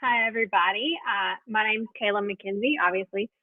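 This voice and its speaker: A woman in her mid twenties introducing herself in a brisk, upbeat way. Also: mid-range voice, no room sound. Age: mid 20s. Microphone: video call. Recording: tinny phone-like line.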